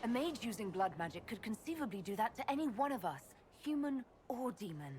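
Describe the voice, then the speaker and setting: A woman's voice: a little high, very animated, a little fast, a little mellow, a little hiss or hum. An actress playing a direct female leader who speaks firmly. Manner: direct, firm.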